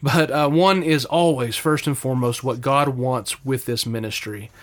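Male co-host, thoughtful and halting delivery, casual podcast talk. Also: medium pitch, fairly even intonation. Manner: thoughtful, hesitant, many fillers.

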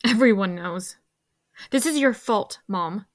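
An actress playing a blunt, cheeky but loyal teenage daughter in an audio drama, talking casually. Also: female voice, mid-range voice, lively intonation, slow, no echo, studio quality. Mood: accusing.

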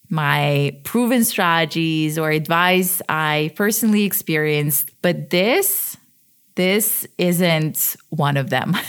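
A woman in her thirties from Switzerland hosts her own podcast and talks the listener through her story. Her voice sits a little low for a woman. She keeps it candid and chatty, often laughing at herself. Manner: conversational, candid, self-deprecating. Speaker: podcast host. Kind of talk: personal storytelling monologue.

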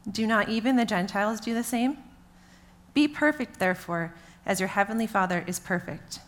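A woman's voice: medium pitch, moderate pace. A woman reading a Bible passage aloud as a scripture reader.

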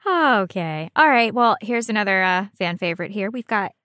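A woman co-host chats casually about gaming in a bright, medium-pitched voice. Her intonation is animated and her manner is playful and teasing. The recording is dry and free of echo. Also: normal speed, smooth. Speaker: podcast co-host. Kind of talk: casual chat about a video game.

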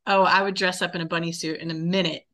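A woman with an American accent and a clear, bright edge to her voice. She sits slightly low in pitch and talks conversationally, as a guest answering interview questions. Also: little pitch movement, fast. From North Carolina, United States. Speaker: interview guest, actor and movement teacher.

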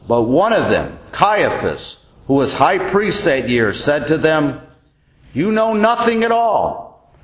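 A man, a deacon, reading the Gospel as a solemn scripture reading, with measured, deliberate and expressive phrasing. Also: sits high. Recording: roomy, echoing church-like sound.

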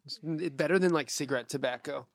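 A young man hosting a podcast, talking in a relaxed, rambling way, swearing casually and laughing often. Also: fairly high pitch, fast, dry recording, studio quality. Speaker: podcast host, producer.

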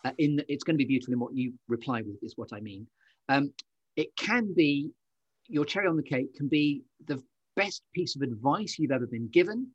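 Husky male podcast host, close and dry. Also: mid-range voice, animated, brisk, no room sound, highs cut off. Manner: effusive, wordy, complimentary. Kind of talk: conversational podcast interviewing.

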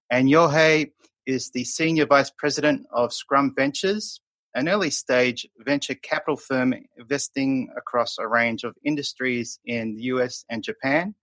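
A man's voice: medium pitch, fairly bright tone. A man hosting a podcast, reading a friendly introduction and then asking his guest questions. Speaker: podcast host.

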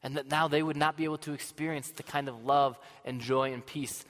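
A man playing a weary, passionate older prophet in a dramatic monologue to a congregation, earnest and swinging from fervent outbursts to hushed sadness. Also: on the high side, fairly even intonation, fairly quick, natural voice. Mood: sad. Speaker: dramatic first-person storyteller. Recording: long hall reverb.

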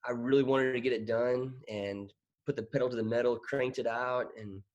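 A man in his thirties who grew up in Thailand talks in a relaxed, rambling way. He laughs at himself often and trips over his words. His pitch sits in a middle range. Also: normal speed, highs cut off. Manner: laughing, self-deprecating, rambling with restarts. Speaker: guest. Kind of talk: casual interview chat.

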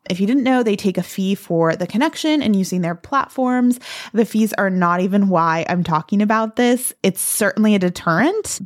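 Woman podcast host, conversational and emphatic. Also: mid-range voice. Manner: conversational, emphatic, peppered with "like" and "right?". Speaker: podcast host and branding consultant. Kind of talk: solo podcast talk, advice to business owners.

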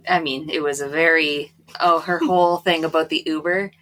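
A woman's voice: sits low, steady tone, normal speed, a bit bright, slight background noise, poor audio. A playful, giggly woman casually chatting as co-host of a book podcast. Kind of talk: casual chat with asides.

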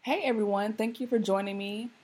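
A woman in her thirties hosting a podcast, talking candidly and casually with a slightly hoarse voice. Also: medium pitch, steady tone, brisk, darkish tone. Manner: candid, conversational, many fillers. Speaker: podcast host. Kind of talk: casual monologue.

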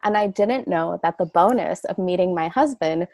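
A woman's voice: lowish voice, normal speed, darkish tone, dry recording. A middle-aged woman from Canada, a guest on a podcast, speaking earnestly and reflectively in long flowing sentences. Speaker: guest, coach. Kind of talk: podcast interview.

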